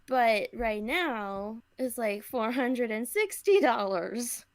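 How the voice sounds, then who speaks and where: Young woman, slightly high pitch, leisurely pace, casual conversational podcast talk. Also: lively intonation, slow pace, dry recording.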